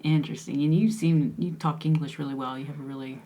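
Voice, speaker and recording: A woman's voice: low voice, steady tone, fast talker, dark tone. A young woman hosting an interview, chatty and affirming, with frequent back-channel responses. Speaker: interview host. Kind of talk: casual interview conversation.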